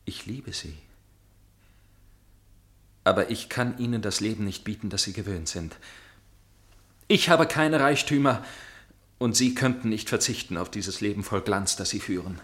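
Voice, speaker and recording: An actor playing a tormented young gentleman in love in a German radio play, speaking earnestly and formally. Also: fairly low pitch, expressive, moderate pace, long pauses, slightly rough. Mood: pained tenderness. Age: young adult. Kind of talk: German radio play acting, dialogue and narration.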